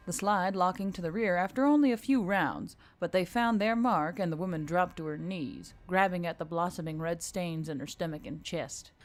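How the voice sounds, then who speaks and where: Low-voiced woman narrating fiction. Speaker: audiobook narrator. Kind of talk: scripted action-fantasy story narration.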